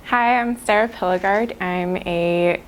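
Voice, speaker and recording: A young woman, a graduating student, speaking gently and appreciatively about her department. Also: medium pitch, unhurried, a little hiss or hum. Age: young adult. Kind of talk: casual personal reminiscence.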